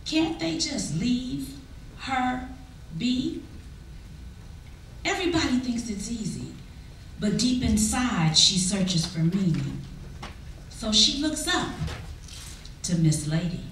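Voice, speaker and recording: A woman giving a dramatic, expressive spoken-word poetry recitation, deliberate and full of drawn-out pauses. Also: fairly high pitch. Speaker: poetry reader at a church performance. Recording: echoey hall, distant mic, faint noise.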